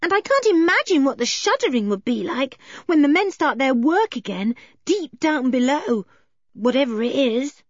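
A woman's voice: high voice, big pitch swings, moderate pace, no room sound, lo-fi sound, pitched above the performer's own voice. A woman voicing a timid, fretful little girl in a storybook reading, nervous and plaintive. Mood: frightened.

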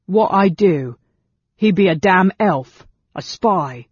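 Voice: animated, slow pace, a little mellow, breathy edge, no room sound, dull audio. A narrator voicing a suspicious, sullen male goblin guard with broken grammar and a hissing, sneering delivery in a fantasy storybook. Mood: shrieking, accusing. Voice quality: shrill, hissing.

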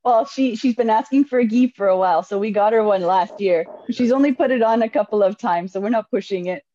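Mellow-voiced woman in her forties. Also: mid-range voice, a little fast, highs cut off. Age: 40s. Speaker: guest, home daycare provider. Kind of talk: casual interview.

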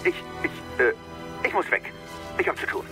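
A man's voice: normal speed, over music, close to an everyday voice. An actor playing a flustered, awkward man who stammers hesitantly in an audio drama. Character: flustered, awkward male character, stammering excuses.

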